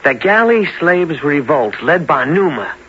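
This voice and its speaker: An intense young man speaks in a bright, urgent voice with big swings of pitch. He is earnest and hesitant, haunted by memories, in old radio drama style on a dull, noisy recording. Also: sing-song, a little slow, heavily acted. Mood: earnest, agitated. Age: young adult. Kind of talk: 1970s radio drama acting.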